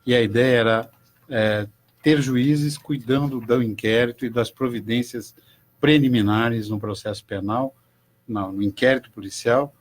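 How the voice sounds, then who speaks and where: An older man, a judge speaking in a formal, measured way as a guest on a radio talk show. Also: mid-range voice, fairly even intonation.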